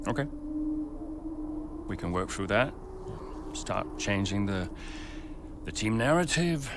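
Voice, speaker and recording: Racing game team boss: firm, blunt, natural, widely varied intonation, clear background noise. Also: male voice, fairly low pitch, big pitch swings, slow, exaggerated voice. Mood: conciliatory. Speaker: team principal. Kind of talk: naturalistic game cutscene acting.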